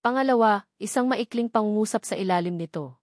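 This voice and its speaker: Woman doing solemn dramatic story narration, kinda suspenseful, medium pitch, dry sound no room. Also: fairly quick, a little mellow, no room sound.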